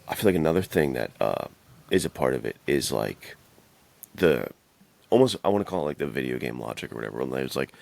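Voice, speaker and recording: Male podcast co-host, extremely deep voice with heavy fry, relaxed slangy conversational delivery. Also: brisk, no room sound. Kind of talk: casual chat about films and games.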